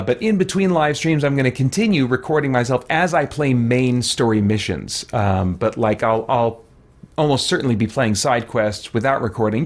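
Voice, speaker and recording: A middle-aged man giving casual, conversational let's play commentary as a gaming streamer, rambling and thinking aloud. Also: lowish voice.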